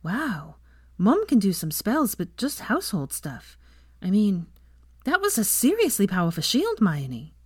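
A woman's voice: mid-range voice, wildly swooping pitch, measured pace, slightly rough, no room sound, clean studio sound, voice pushed up, exaggerated voice. A woman voicing a brash, fiery teenage girl with a blunt, emphatic manner in an audiobook. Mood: earnest. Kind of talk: audiobook character voice.

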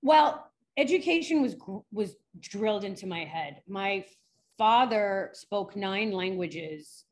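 A woman's voice: mid-range voice, animated, takes it slowly. A woman from New York, a forensic psychologist chatting candidly in an interview, laughing often. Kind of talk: casual interview chat.

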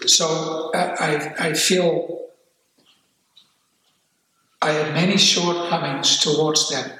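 An older man from the Netherlands with a Dutch accent, speaking candidly and haltingly about his life, repeating words as he goes. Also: fairly high pitch, fairly even intonation, bright, forceful tone, far from the mic, slight background noise. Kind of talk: personal reflective testimony. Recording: echoey room, off-mic.

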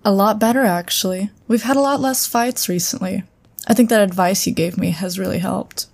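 An actress playing an earnest psionic agent in a sci-fi audio drama, speaking conversationally. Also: female voice, mid-range voice, normal speed, gravelly, a little mellow. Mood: warm, grateful. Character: earnest female psionic agent, loyal but wary.

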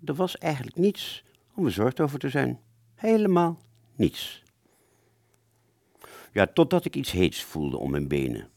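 A man's voice: low voice, creaky, darkish tone, dry recording, studio quality. A man narrating a first-person story for an audio play, hesitant and conversational, drawing out words and suddenly stressing others.

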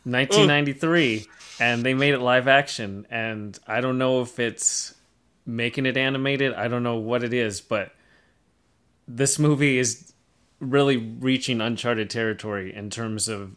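Man, podcast co-host, medium pitch, casual discussion, measured and analytical, thoughtful pauses. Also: fairly bright tone, clear, resonant tone. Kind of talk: casual discussion of film trends.

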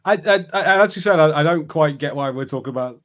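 A man's voice: on the high side, fast talker, fairly bright tone. A man co-hosting a podcast, giving his opinions in a casual, conversational and tentative way. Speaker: podcast co-host. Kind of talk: casual chat.

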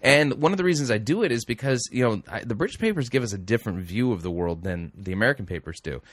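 A man's voice: mid-range voice, lively intonation, quick pace, no echo. A middle-aged man hosting a talk show, reading news aloud with rambling, self-correcting, wry asides. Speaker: radio host. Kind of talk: casual talk show commentary.

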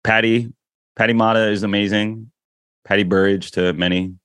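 A man, a pastor guesting on a panel, chatting casually in a halting, slangy way. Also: lowish voice, steady tone, a bit bright, clean tone, no room sound. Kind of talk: casual chat.

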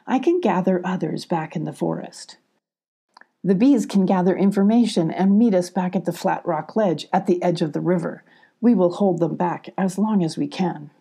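A woman's voice: lowish voice, normal speed, creaky, warm tone, breathy edge. A woman voicing a motherly, wise, reassuring owl in a children's storybook, with hooting exclamations. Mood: resolute.

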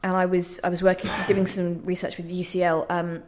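Woman playwright on a radio chat, lowish voice, earnest and kinda reflective. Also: monotone, brisk, darkish tone, slight background noise. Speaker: playwright, interview guest. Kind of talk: conversational radio interview.

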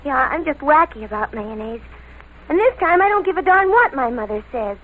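An American actress playing a lovestruck, defiant teenage girl in an old radio drama, with breathless, slangy, halting speech. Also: high voice, animated. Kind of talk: 1940s American radio crime drama acting. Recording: noisy vintage radio recording.

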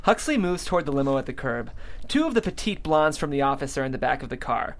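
An expressive narrator reads stage directions for a script table read. The delivery is amused and animated, with lively swings in a mid-range voice. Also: medium pitch, fast.

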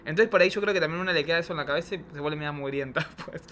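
Argentine man, quick pace, bright voice, casual slangy chat, noticeable background noise. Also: on the high side. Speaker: drag queen guest.

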